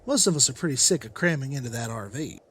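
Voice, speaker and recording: A man's voice: medium pitch, animated, quick pace, fairly bright tone, a little hiss or hum, close to an everyday voice. A man playing a friendly, practical survivor in a motion comic, talking in a casual, inquiring way. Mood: curious. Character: friendly, practical male survivor seeking shelter.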